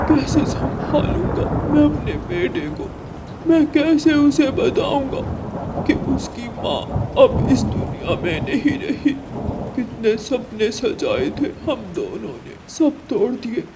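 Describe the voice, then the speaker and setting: An earnest, worried father pigeon from a cartoon moral story, voiced by a woman. The tone is mellow and the delivery melodramatic, with faint rain and thunder behind. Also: high-pitched, a little hiss or hum, exaggerated voice, low-quality recording. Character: male pigeon, worried devoted husband and father. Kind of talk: Hindi animated moral story dubbing.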